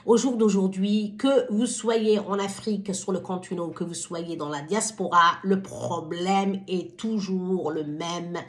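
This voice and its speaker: A woman's voice: lowish voice, steady tone, measured pace, few pauses. A woman from Somalia hosting her own wellbeing vlog, speaking to viewers in a hesitant but impassioned, exhorting way. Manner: hesitant, stumbling over words. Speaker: channel host.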